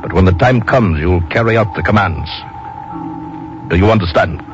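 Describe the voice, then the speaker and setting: An actor playing a scheming, commanding general and hypnotist in a 1950s radio drama, speaking deliberately. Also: male voice, deep voice, lightly acted. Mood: commanding, controlled. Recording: muffled old radio recording, music behind.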